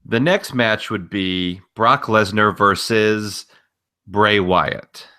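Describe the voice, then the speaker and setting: A man with a fairly low, very bright and forceful voice talks through wrestling news as a podcast co-host. His delivery is casual but blunt and opinionated, with profanity thrown in freely. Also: takes it slowly, smooth.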